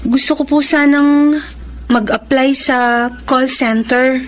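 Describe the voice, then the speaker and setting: A very high-voiced, sweet young woman in a Filipino radio drama. She is a hopeful granddaughter, polite and tender with her elders. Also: fairly even intonation, slow pace. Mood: shy, hopeful.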